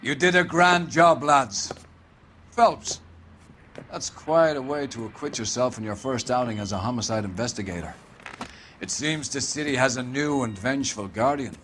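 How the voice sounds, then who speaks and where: An actor playing a pompous, righteous police captain who speaks in grand, preacher-like oratory in a period crime game. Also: male voice, mid-range voice, moderate pace, a bit bright, a little hiss or hum, natural voice. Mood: grandiose praise. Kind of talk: dramatic 1940s period game acting.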